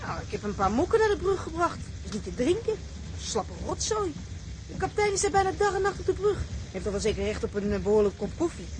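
A woman's voice: sing-song, fast talker. A young woman playing a plucky, cheeky and direct stowaway in a naturalistic drama at sea. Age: young adult. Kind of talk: naturalistic Dutch drama acting. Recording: clear engine rumble and ship noise.

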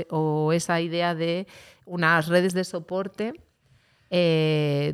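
A woman with a low, smooth voice hosting a community podcast. She leads the talk with questions, often tagging her sentences with "¿no?" to draw in her guests. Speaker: podcast host. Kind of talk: casual podcast conversation.

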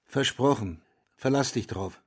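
A man's voice: medium pitch, a little slow, husky, no echo. An actor playing a reflective, haunted war veteran and writer in his forties, narrating intimately in a radio drama. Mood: wry, reflective. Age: middle-aged. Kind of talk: German radio drama first-person narration. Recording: studio radio drama over guitar music.

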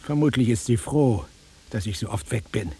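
Very raspy, blunt middle-aged salesman. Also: medium pitch, normal speed, warm tone. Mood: offhand. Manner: offhand, dismissive, grumbling. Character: bored, blunt middle-aged travelling salesman, worldly and impatient. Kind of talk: naturalistic radio drama acting.

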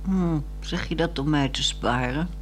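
A woman's voice: low voice, unhurried, slight background noise. A woman with a Netherlands Dutch accent playing a bored, frank housewife in a 1960s radio drama, probing and pointed in a dry way. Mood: quiet, teasing.

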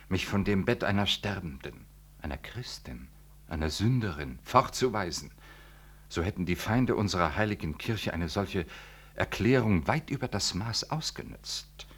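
A solemn priest from a 1960s radio drama, with a remarkably deep bass voice. He declaims in careful, formal phrases with earnest, self-righteous weight and dignified emphasis. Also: slightly rough, heavily acted.

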